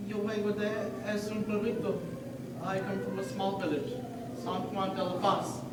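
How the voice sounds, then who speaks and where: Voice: mid-range voice, flat delivery, normal speed, natural voice. A spirited, big-mouthed village boy played by a teen actor tells stories on stage, chatty and exuberant, slipping between Spanish and English. The sound is distant and echoey, with heavy noise. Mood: earnest storytelling. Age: teens. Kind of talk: stage play acting. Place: high school stage in a large hall. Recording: echoey hall from far off, very noisy.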